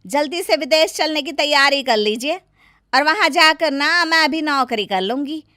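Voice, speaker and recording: A woman's voice: high-pitched, lively intonation, normal speed, pushed and bright, no echo, clean studio sound. A woman playing a pushy, ambitious young wife who nags and persuades, in a story. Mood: persuasive. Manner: nagging, persuasive.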